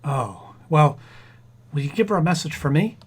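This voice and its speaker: A man's voice: fairly high pitch, lively intonation, normal speed, husky. A man playing a flustered, stammering, eager expectant father in an old-time radio comedy. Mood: proudly deluded. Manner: stammering, repeats words when flustered.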